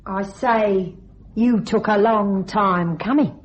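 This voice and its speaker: A woman's voice: medium pitch, lightly acted. A woman playing a cold, scheming young widow in a radio thriller, mocking and coolly commanding. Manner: taunting, coolly controlled, deliberate. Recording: dull, band-limited radio drama recording.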